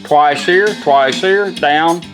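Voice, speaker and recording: Male demonstrator, informal folksy delivery, slightly higher pitch, clear music in the background. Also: takes it slowly. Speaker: radio restorer demonstrating a product. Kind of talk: casual product demonstration. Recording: music playing from a radio behind. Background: radio music with guitar.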